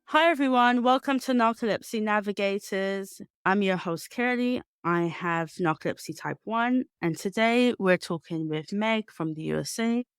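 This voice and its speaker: A woman's voice: mid-range voice, normal speed, hardly any pauses, smooth, no echo. A woman hosting a podcast, greeting her guest and asking friendly questions in a conversational way. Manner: friendly, scripted introduction then conversational questions. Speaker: podcast host. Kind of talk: podcast interview.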